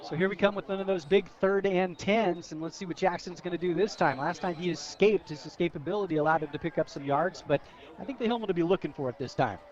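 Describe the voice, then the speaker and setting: A man's voice: high-pitched, fast talker. An American man giving conversational color commentary on a live radio football broadcast. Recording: dull lo-fi outdoor radio broadcast.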